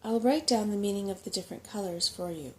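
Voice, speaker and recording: A woman's voice: mid-range voice, fast talker, darkish tone, lightly acted. Woman voicing a fussy, self-important little male rat. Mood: portentous, instructive. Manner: portentous, fussy, easily affronted. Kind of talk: soft-spoken storybook character reading.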